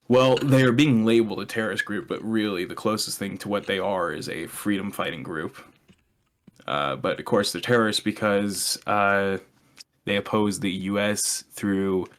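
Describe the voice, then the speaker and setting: A man's voice: fairly low pitch, lively intonation, unhurried, a bit bright. A young man chatting casually as a podcast co-host, explaining his opinions in a sardonic way and swearing freely.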